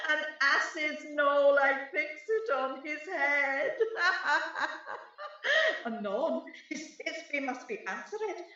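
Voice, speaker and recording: Woman acting a high, echoing mischievous sprite. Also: fairly bright tone, heavily acted. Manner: theatrical verse storytelling, giggling relish. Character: mischievous gleeful sprite servant of the fairy king. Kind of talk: radio Shakespeare acting. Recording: very reverberant, distant, slightly dull sound.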